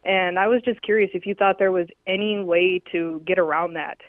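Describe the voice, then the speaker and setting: A woman's voice: on the low side, steady tone, moderate pace, no room sound. A woman from North Dakota calls in to a talk show, starting her thoughts with hesitant ums. Her voice comes through a thin, dry phone line. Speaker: caller. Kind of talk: call-in chat.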